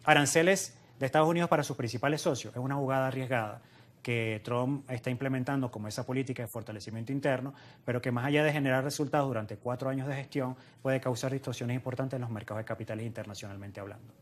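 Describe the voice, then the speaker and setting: A man's voice: mid-range voice, fairly even intonation, fast talker. A man speaking as an economist and interview guest, giving explanatory analysis and repeating phrases in lists for emphasis.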